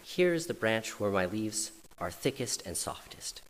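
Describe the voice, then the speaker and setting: A man reading aloud and voicing a kind, welcoming spruce tree that offers shelter gently. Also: lowish voice, very animated, a little slow. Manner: gentle and warm-hearted. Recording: reverberant hall, faint noise.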